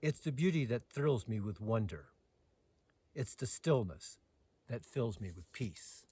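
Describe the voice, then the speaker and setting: Male poetry reader, husky mid-pitched voice, dramatic phrasing with soft line endings, recorded outdoors. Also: expressive, a little slow. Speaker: host reading poetry aloud. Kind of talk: poetry recitation with casual introduction. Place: outdoors in the mountains.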